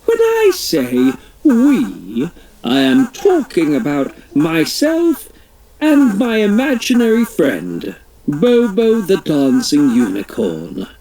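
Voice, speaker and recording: A storybook reader voicing a fussy, excitable queen in a high, shrill, dramatic voice. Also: takes it slowly, heavily acted, poor audio. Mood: pompous. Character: excitable bossy queen with a jetpack.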